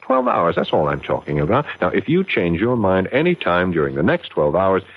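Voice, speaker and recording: Deep, husky, smooth-talking sinister fixer. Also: male voice, huge pitch swings, exaggerated voice. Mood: patient, sly. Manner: suave, persuasive, unhurried. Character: smooth, calculating male fixer pitching a murderous business deal. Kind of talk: 1970s radio drama acting. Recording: muffled vintage 1970s radio recording.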